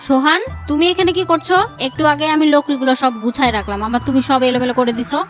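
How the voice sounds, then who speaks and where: A woman playing a poor, exasperated village mother in an animated story, speaking earnestly. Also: high voice, very fast, faint music behind, natural voice.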